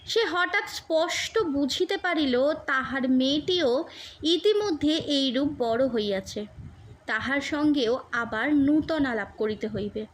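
A woman reads a literary story aloud as narrator. Her voice is quite high, and she takes her time with measured, expressive phrasing. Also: rough recording. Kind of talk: scripted literary audiobook narration.

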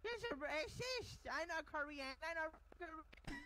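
A woman voicing a brash Chinese man in a game roleplay, speaking in broken English with a put-on accent and quick to call others racist. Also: very high voice, expressive. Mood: indignant. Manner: touchy, quick to cry racism. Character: brash Chinese man named Wang, noodle cook. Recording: game voice chat with crowd noise.

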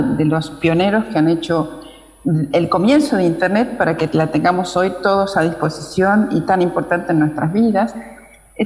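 A woman's voice: lowish voice, darkish tone. A middle-aged woman with an Argentine accent, giving an informal remote speech as a guest. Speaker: guest speaker, chapter president. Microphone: video call with earphones. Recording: echoey video call played in hall.

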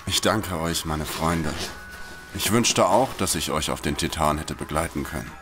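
An actor playing a gentle, resigned ailing male scientist in an audio drama. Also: very deep voice, moderate pace, over music. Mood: resigned, subdued.

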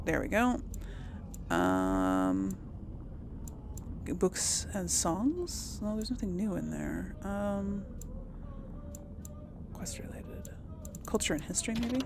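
A woman's voice: mid-range voice, wildly swooping pitch, slow pace, long pauses, background noise. A woman hosting a casual let's-play, reading lore entries aloud and thinking aloud with many ums.

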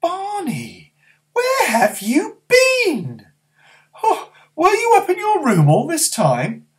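Old granny, fretful and fussing, high-pitched, voiced by a male reader. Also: huge pitch swings, takes it slowly, heavily acted.

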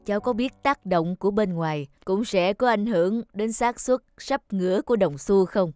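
A man voicing a stern but kindly middle-aged contest judge in a cartoon, measured and formal. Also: sits high, darkish tone, clean tone, dry recording, soft background music, natural voice. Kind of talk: cartoon voice acting.

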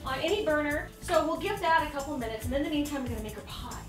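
A high-voiced woman hosts a cooking demonstration in her kitchen. She is chatty and playful, laughs a lot and makes little sound effects as she works. The kitchen is echoey and music plays behind her. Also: fast talker, far from the mic. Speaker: cooking show host. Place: home kitchen.

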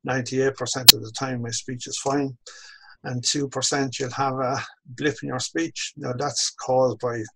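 A man in his sixties with an Irish accent, a course instructor telling his own story slowly and reflectively on a video call from a home office. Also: mid-range voice, little pitch movement, vocal fry, noisy background. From Ireland. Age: 60s. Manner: measured, reflective, slows down on key phrases. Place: home office with bookshelves, indoors. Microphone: video call webcam.

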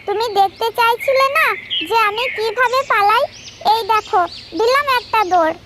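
A woman's voice: extremely high pitch, moderate pace, very noisy. A woman voicing a small, cheeky, clever goat in a children's cartoon fable. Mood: cheeky, confident.

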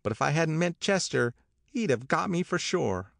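A man voicing a shrill, snobbish married woman in an audiobook, vigorous and self-satisfied. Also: sing-song, normal speed, vocal fry, fairly bright tone, no room sound, clean studio sound, heavily acted. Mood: indignant, boastful. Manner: vigorous, insistent.

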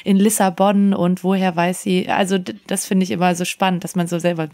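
A woman's voice: fairly low pitch, flat delivery, brisk, darkish tone. A woman in a casual discussion, thinking aloud and rephrasing as she goes. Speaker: podcast panelist.

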